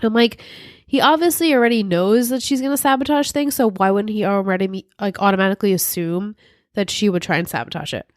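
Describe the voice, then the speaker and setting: A woman's voice: mid-range voice, quick pace, no room sound, clean studio sound. A woman co-hosting a podcast, chatting casually while recapping a TV show, her voice worn and congested from a sinus infection, acting out characters' lines as she goes. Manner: animated, acts out characters' lines in quotes. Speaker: podcast co-host.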